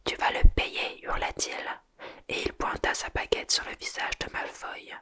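A storyteller whispering a novel aloud in French in a breathy, unvoiced ASMR style, measured, with acted dialogue. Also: bright, forceful tone. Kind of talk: whispered audiobook reading, ASMR.

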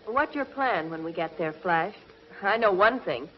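A woman's voice: fairly low pitch, lively intonation, unhurried. Young spaceship crewwoman, echoey. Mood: worried. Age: young adult. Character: earnest, anxious young female rocket crew member. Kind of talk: 1950s television serial acting. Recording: dull, echoey, noisy 1950s TV soundtrack.

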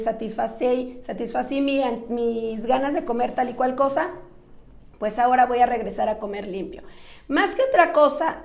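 A woman in her forties with a Mexican accent and a slightly hoarse voice, talking casually and effusively to the camera as a nutritionist hosting her show. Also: a little high. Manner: effusive, friendly, thankful. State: slightly hoarse, recovering from a sore throat. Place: small indoor office. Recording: echoey small room.

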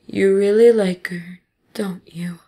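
A woman acting a gentle mother in an audio drama, soft and soothing in manner. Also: mid-range voice, fairly even intonation, takes it slowly. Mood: tender, reassuring. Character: gentle, reassuring mother of a grown son.

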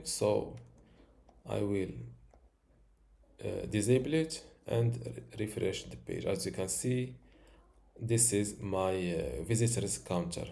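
Man, 30s, tutorial host; slow, methodical delivery, low mellow voice. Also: gravelly. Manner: slow, step-by-step instructions with fillers. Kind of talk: screen-recorded software tutorial.

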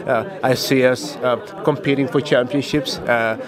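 A man's voice: mid-range voice, steady tone, normal speed, crowd noise. A man in his thirties from Uganda with a Ugandan accent, a soccer coach answering interview questions hesitantly, full of fillers, into a handheld mic indoors. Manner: hesitant, many fillers and restarts. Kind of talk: casual interview. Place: indoor meeting room with a small crowd. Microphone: handheld mic held by the interviewer.

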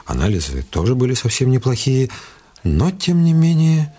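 A man's voice: mid-range voice, wildly swooping pitch, moderate pace, mellow, slight background noise. A man reading scripted literary narration as the narrator of a radio play.

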